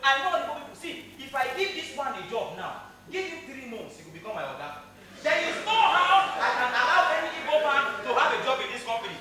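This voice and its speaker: A man's voice: very high-pitched, wildly swooping pitch, fast, sharp tone, distant mic, heavily acted. A man playing a hostile boss in an echoing hall. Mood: angry, shouting. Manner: dismissive, repeating himself in short commands. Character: hostile, prejudiced male office boss turning away a job seeker. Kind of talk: live stage acting. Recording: echoey stage recording from afar, noisy.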